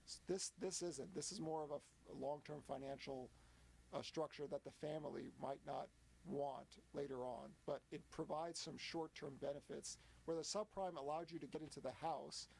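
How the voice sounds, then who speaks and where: A man in his early forties explaining things as a guest, halting and restarting his sentences often. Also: on the high side, fairly even intonation, gravelly, darkish tone, husky, low-quality recording. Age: 40s. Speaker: guest analyst. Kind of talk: casual interview explanation.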